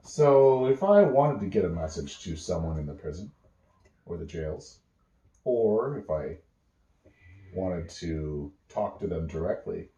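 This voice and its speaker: Man playing a low-voiced, evasive schemer. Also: animated, a little slow, dark tone, highs cut off. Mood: evasive, hinting. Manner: hesitant, roundabout, hinting. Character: evasive, cautious male schemer probing for information. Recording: roomy, echoey home recording.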